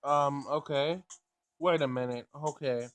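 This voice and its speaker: A man hosting a gaming video, casually thinking out loud in a tentative way as he plays and reads. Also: medium pitch, measured pace, smooth. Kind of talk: casual let's play commentary and read-aloud game text.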